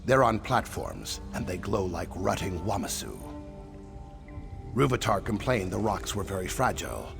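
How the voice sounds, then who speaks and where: Game role: wary reptilian male survivor, lowish voice, lively delivery, dry sarcasm, music underneath. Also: normal speed, gravelly, heavily acted. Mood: urgent, bargaining. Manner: wry, dry sarcasm, clipped questions tagged on statements. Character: wary sardonic male lizard-folk survivor hiding in a ruined temple. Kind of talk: video game character dialogue.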